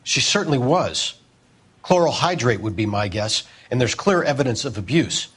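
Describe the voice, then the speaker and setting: A man voicing a brisk, grave hospital doctor in a video game, formal and clinical. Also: mid-range voice, very animated, a bit bright, slightly rough.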